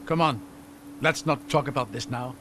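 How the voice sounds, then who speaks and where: A man's voice: medium pitch, normal speed, lightly acted. A man acting a wry, regretful fugitive companion. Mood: subdued concern. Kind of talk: video game cinematic acting.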